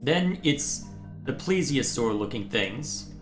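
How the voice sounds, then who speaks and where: A man's voice: mid-range voice, animated, slow, roomy sound, music in the background. A man from Texas hosting a live game stream, thinking aloud in a casual, musing way. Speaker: livestream host playing a game. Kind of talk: casual live gameplay commentary.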